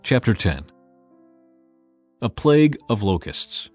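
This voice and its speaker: A man's voice: lowish voice, very animated, takes it slowly, darkish tone, no echo, soft background music. A man narrating a dramatized scripture audiobook in a solemn, emphatic storytelling manner.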